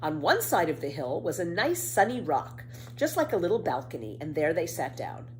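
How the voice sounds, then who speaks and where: Casting: female children's storyteller, slightly low voice, very lively intonation, careful expressive reading, some background noise. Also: normal speed, poor audio.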